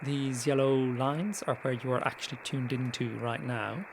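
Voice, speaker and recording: Casual man presenting, clear background noise. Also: mid-range voice, steady tone, moderate pace. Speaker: tutorial presenter. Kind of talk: casual screen-share tutorial narration.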